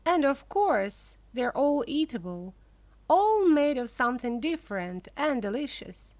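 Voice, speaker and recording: A woman reading aloud and voicing an eccentric, excitable male chocolate maker who gushes proudly over his creations. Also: sits high, unhurried, dry recording. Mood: gushing. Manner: gushing, showy and proud.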